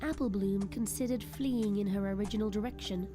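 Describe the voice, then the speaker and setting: A woman's voice: medium pitch, brisk. A woman reading scripted narration for an audio drama. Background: faint background music.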